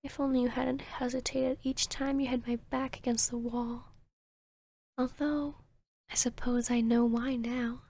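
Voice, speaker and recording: A woman voicing an arrogant, seductive vampiress hypnotist in a whispered ASMR roleplay, with a breathy, smug, teasing delivery. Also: fairly high pitch, level tone, darkish tone. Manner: slow, smug, teasing, deliberate.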